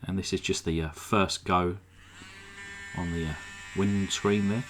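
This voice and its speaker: Croaky, relaxed man narrating his workbench restoration. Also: deep voice, unhurried, background noise. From England. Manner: relaxed, chatty, many "uh" fillers. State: slightly croaky, sore throat. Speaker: model car restorer. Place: home workshop. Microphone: desk microphone.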